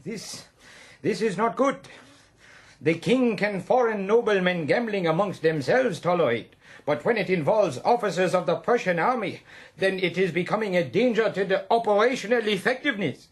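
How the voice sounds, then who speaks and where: Stern Germanic army officer, radio play: high-pitched, imperious, inverted phrasing, German accent. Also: male voice, animated, normal speed. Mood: grave, commanding. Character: stern, officious young Prussian army captain. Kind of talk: radio drama acting.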